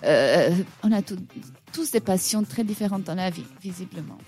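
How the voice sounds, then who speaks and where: A woman's voice: lowish voice, animated, normal speed, darkish tone, faint music behind. A woman whose first language is not French, giving an ironic, chatty radio chronicle with frequent hesitations. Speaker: radio show columnist.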